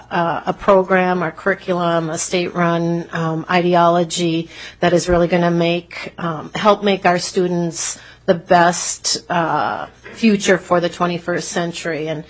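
Flat, halting woman legislator. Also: lowish voice, poor audio. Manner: halting, many fillers. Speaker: state legislator. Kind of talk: committee introduction speech. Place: legislative committee room.

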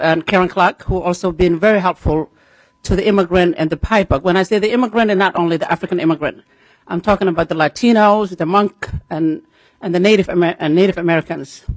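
A middle-aged woman with a Somali accent giving earnest, halting public testimony, repeating phrases for emphasis. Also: on the low side, no room sound, low-quality recording. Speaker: farmer testifying at a legislative committee.

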